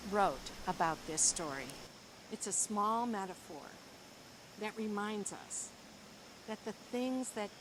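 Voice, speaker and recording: A woman reading a picture book aloud to young children, with expressive, deliberate and dramatic phrasing. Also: mid-range voice, background noise. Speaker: school librarian reading story time.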